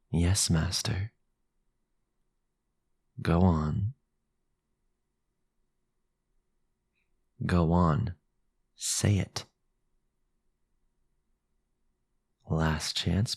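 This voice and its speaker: A sinister vampire lord for an audio roleplay. His low voice is very husky and breathy, crackling with gravel. He speaks with formal, possessive authority and silky menace. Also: a little mellow, no room sound, studio quality, heavily acted. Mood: possessive, commanding. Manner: commanding, formal, drawn-out menacing delivery. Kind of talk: boyfriend ASMR audio roleplay.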